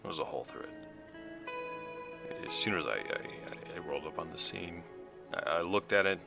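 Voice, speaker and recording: An actor playing a weary vehicle mechanic recording a sombre log in an audio drama, speaking in a halting, reflective way. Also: male voice, expressive. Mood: sombre recounting. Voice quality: low gravelly voice. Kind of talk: audio drama monologue, naturalistic. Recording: music running under the voice. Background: musical underscore.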